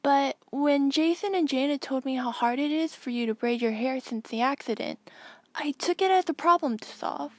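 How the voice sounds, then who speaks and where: Female reader as thoughtful young boy in a recorded message, polite and bashful, high voice, close dry sound. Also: moderate pace, dry recording, close to an everyday voice. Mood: earnest, kindly. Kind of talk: audiobook character reading.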